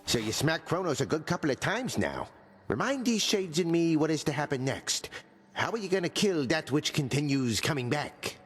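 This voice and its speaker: An actor playing a gruff, joking male skeleton commander who trains fighters and mixes lofty old words with slang, delivered deadpan. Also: a little high, moderate pace, long reverb tail, soft background music. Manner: deadpan jokes mixing archaic and slang words. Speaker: combat trainer.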